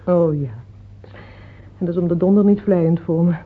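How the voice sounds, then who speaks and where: A woman's voice: fairly low pitch, steady tone, normal speed, natural voice. An actress in a Dutch radio play, playing an earthy, plain, motherly middle-aged mistress who speaks frankly, tenderly and teasingly, without hurry. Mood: wry, wistful. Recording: muffled tinny old radio play recording.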